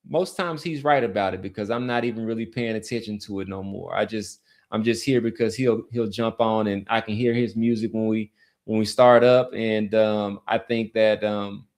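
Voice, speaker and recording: Casual male sports podcast host. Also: fairly low pitch. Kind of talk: casual sports chat and community sign-off.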